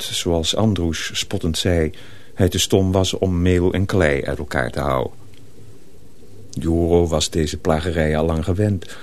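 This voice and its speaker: An actor narrating a tale in a radio play, in a measured, scripted storybook manner. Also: male voice, sits low, darkish tone. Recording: faint noise on old radio recording.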